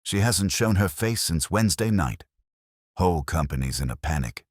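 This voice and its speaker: Man playing a bitter, low-voiced stage manager. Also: lively intonation, moderate pace, slightly rough, no echo, studio quality. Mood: bitter, nervous. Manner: curt, suspicious, bitter. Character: harried stage manager in his forties, sour and bitter.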